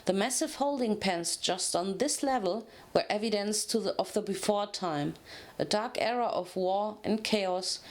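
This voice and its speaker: A woman giving a dramatic reading of a scripted story, occasionally stumbling over a word. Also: medium pitch. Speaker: fan fiction reader.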